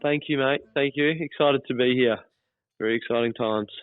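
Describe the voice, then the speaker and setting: A man's voice: mid-range voice, normal speed, dry recording, faint music behind. A man with an Australian accent chatting casually as a podcast guest over the telephone, agreeable and polite. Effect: telephone line. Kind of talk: casual phone chat. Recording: thin, dry phone line.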